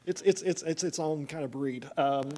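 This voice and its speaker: A man's voice: a little high, dark tone, slight background noise. A man with an East Tennessee accent, a planning consultant giving a chatty, joking update at a public meeting, with many fillers.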